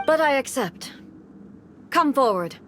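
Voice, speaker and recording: A woman playing a stern, aloof almighty shogun in a video game, speaking formally in a measured, commanding way. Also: high voice, lively intonation, a bit bright, soft background music, heavily acted. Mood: solemn challenge. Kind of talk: dramatic video game voice acting.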